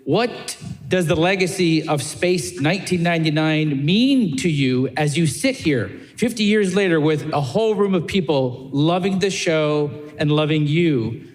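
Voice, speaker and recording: A man earnestly putting an appreciative question to guests on a live panel. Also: fairly high pitch. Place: large, packed indoor panel room. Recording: echoey hall, faint crowd behind.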